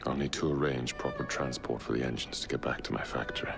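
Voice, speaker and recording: An actor playing a grim, commanding industrialist villain in a game, terse and menacing. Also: male voice, rumbling low, fairly even intonation, fast, gravelly. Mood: cold, stern. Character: grim, glowering crime lord and industrialist, cold and commanding. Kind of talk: video game cutscene acting. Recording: loud music behind the voice.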